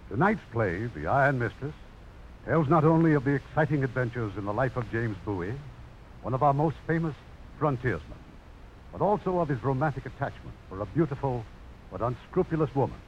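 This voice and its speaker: A man hosting a vintage radio drama, narrating from a script with formal, dramatic, deliberate phrasing. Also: medium pitch, lively intonation, slight background noise. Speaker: radio producer and host. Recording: muffled old radio broadcast recording.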